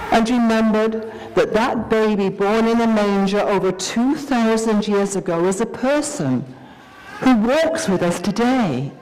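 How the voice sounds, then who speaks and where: A woman giving a dramatic, expressive reading of a scripted story, with deliberate pauses. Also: fairly high pitch, a little hiss or hum, rough recording.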